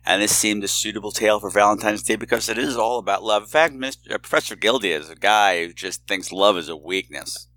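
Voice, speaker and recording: A man's voice: lowish voice, a little fast, few pauses, pushed and bright, low-quality recording. A man hosting a podcast with a casual, rambling introduction, informal and scattered with theatrical flourishes. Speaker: podcast host.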